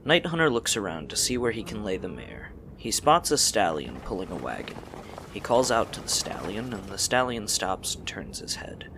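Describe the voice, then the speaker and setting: A man's voice: fairly low pitch, sing-song, normal speed, bright, forceful tone, a little hiss or hum. A man reading scripted fantasy story narration as the narrator of an audio drama.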